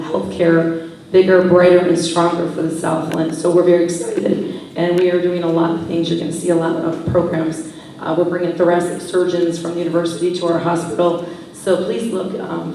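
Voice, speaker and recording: A hoarse woman with a cold giving a polished, formal hospital presentation at a public board meeting. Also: fairly low pitch, dark tone, slight background noise. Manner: polished, promotional delivery. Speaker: hospital occupational health presenter. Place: village board meeting room. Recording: echoey hall, picked up from far off.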